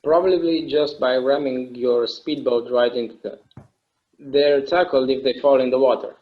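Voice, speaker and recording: A man's voice: medium pitch, steady tone, moderate pace, natural voice. A man playing an easygoing, imaginative husband in a casual stage play table read, on a slightly dull microphone. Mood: amused, agreeable. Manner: casual, musing, agreeable. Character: easygoing, imaginative husband who enjoys inventing games.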